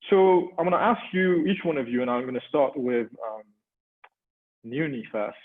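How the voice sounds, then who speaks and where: A man's voice: mid-range voice, lively intonation, normal speed, a little hiss or hum. A man moderating a panel webinar over a video call, chatty and personal, with frequent ums. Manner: conversational, many ums, warm personal asides. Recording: tinny phone-like audio.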